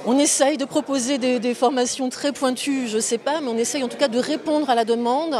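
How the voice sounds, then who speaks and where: Female representative, high pitch, spontaneous interview answer. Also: steady tone, brisk, crowd noise. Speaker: training body representative being interviewed.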